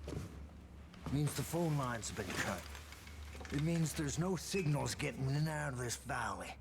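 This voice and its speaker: An actor playing a gruff, weary older American survivalist in a video game, talking bluntly with swearing and a folksy turn of phrase. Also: male voice, mid-range voice, expressive, measured pace, noisy background. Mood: grim, bitter. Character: gruff, weary older male survivalist, cynical but defiant. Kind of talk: video game cutscene acting. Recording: dramatic music behind the voice.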